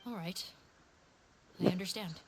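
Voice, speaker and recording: An actress playing a dutiful female officer in a video game, formal and measured. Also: lowish voice, heavy background noise. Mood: solemn. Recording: loud music behind the voice.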